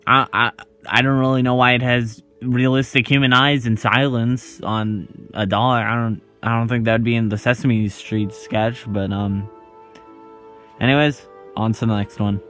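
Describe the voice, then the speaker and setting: A man narrating a scripted video essay as its host, pausing dramatically between short phrases. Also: medium pitch, fairly even intonation, a bit bright, faint music behind.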